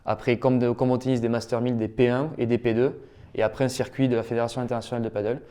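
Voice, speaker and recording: A young man answers interview questions with enthusiasm, his voice smooth and warmly dark. He speaks in a fairly even tone and fills his pauses with a steady "euh". The delivery stays casual and talkative throughout. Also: medium pitch. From Toulouse, France. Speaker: professional padel player, guest.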